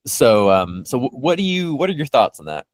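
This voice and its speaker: A man's voice: medium pitch, sing-song, normal speed, dry recording. A man hosting a podcast in casual fan discussion, talking in a hesitant, meandering way. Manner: hesitant, meandering, hedging delivery. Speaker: podcast host.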